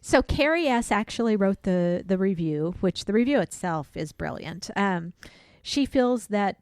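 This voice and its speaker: A woman's voice: mid-range voice, lively intonation, measured pace, a little mellow, no room sound. A woman co-hosting a comedy podcast, chatting informally and jokingly about books. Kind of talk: casual, joking book chat.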